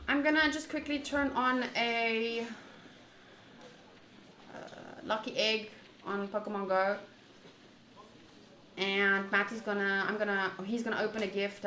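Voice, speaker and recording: A woman streamer chatting casually to viewers during a game playthrough, in an animated, opinionated and wandering way, often rephrasing mid-thought. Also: mid-range voice. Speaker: streamer playing a visual novel. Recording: echoey room, faint background noise.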